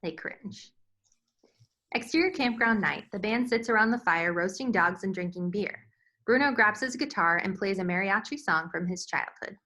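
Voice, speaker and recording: Bright-voiced woman reading stage directions. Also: mid-range voice, normal speed. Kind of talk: scripted narration.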